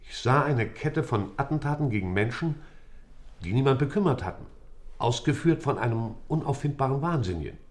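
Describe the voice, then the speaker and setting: An actor playing an aging, methodical judge in a radio play, questioning formally and deliberately, halting at times. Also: male voice, medium pitch, animated, lightly acted. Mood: formal, probing. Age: older. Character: aging, methodical judge interrogating an accused man. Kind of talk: German radio play acting.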